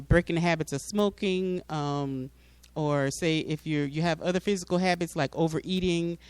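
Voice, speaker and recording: Guy doing a public talk w slides, clean smooth voice kinda high, explains stuff, repeats himself a bit. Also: no room sound. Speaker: public speaker at a mosque. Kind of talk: informal public address with slides.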